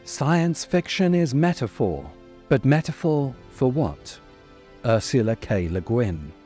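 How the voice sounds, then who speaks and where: A middle-aged man narrating a scripted video essay in a deliberate, emphatic way, close to the microphone. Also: mid-range voice, sing-song, dark tone, faint music behind. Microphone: close desk microphone.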